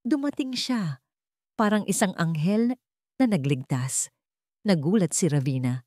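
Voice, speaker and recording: A woman's voice: lowish voice, lively intonation, unhurried, dark tone, no echo, studio quality. A woman narrating a scripted story in a theatrical, suspenseful manner.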